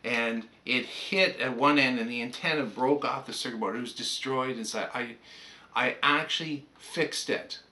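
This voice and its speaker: A man's voice: mid-range voice, moderate pace, bright, forceful tone. An older man with a Canadian accent, chatting casually and anecdotally as he reminisces like a hobbyist presenting on a vlog.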